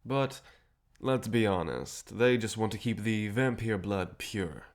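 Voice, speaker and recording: A man playing a low-voiced, courtly vampire rebel leader. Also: lively intonation, slow. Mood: determined, persuasive. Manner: courteous, persuasive, theatrical. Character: cheerful, self-assured male vampire rebel leader, century old. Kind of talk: scripted audio drama acting.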